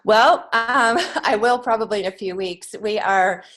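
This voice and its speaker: A woman's voice: medium pitch, measured pace, fairly bright tone. An American woman giving casual, encouraging answers as an author being interviewed on a podcast. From United States. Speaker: podcast guest, children's book author and creative writing teacher.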